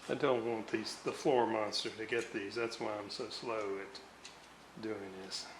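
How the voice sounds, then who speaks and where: Man, very slow pace, halting mutter, hands-on workbench demonstration, clear background noise. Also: lowish voice, fairly even intonation. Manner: halting, muttering to himself while working. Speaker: radio restorer. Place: indoor room, at a workbench. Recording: roomy, noisy home recording.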